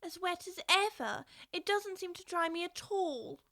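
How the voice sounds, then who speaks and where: A woman's voice: extremely high pitch, animated, normal speed, bright, forceful tone, exaggerated voice. A woman playing a curious, polite, naive little girl in a full-cast audiobook, with an earnest manner.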